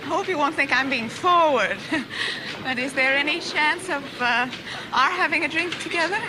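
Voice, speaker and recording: A woman's voice: high voice, moderate pace, noisy background, lightly acted. A woman playing a coy, flirtatious shopkeeper with a scheming streak in a mystery film, giggly and hesitant. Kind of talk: television film mystery acting.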